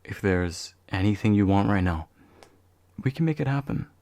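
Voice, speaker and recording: A young man acting as a courteous, gentle demon of envy in a soft-spoken ASMR roleplay, formal and soothing with occasional hesitation. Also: on the low side, animated, moderate pace, gravelly, dry recording. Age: young adult. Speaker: voice actor.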